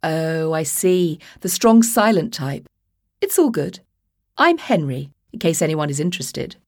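A woman's voice: low-pitched, big pitch swings, unhurried, no echo, clean studio sound, exaggerated voice. A woman voicing a posh, long-haired young man who rambles on breezily, oblivious to being unwanted. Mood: chirpy, chatty. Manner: breezy, upper-class, rambling.

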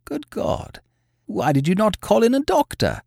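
Man playing an earnest, dismayed doctor, animated. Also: sits high, a little slow, creaky, no echo, clean studio sound, voice pushed up, full character voice. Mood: shocked. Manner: earnest, protesting. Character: loyal male doctor, earnest, dismayed old friend.

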